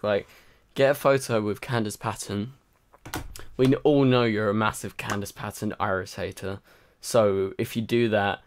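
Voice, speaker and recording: Man, tired, rambling, lots of fillers, slightly low voice, casual livestream host. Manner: rambling, chatty, many fillers. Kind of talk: casual livestream chat reading comments. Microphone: home microphone.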